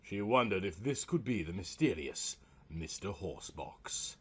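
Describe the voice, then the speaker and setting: A man's voice: deep voice, expressive, measured pace, fairly bright tone, breathy edge. A man narrating a scripted comic story in a melodramatic, mock-serious manner.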